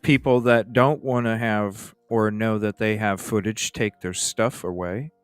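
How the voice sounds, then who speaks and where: A man's voice: lowish voice, steady tone, measured pace, smooth, dry recording, soft background music. A man hosting a true-crime stream, talking casually and conversationally in a rambling way, repeating himself for emphasis. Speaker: host of a true-crime livestream. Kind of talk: casual case discussion.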